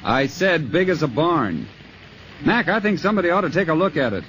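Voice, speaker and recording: Brisk wry forest ranger, swingy intonation, 50s radio drama, noisy muffled audio. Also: male voice, on the high side, big pitch swings, a bit bright. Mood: matter-of-fact. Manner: plainspoken, wry, colloquial. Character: brisk, wisecracking male forest ranger on fire duty. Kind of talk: 1950s radio acting.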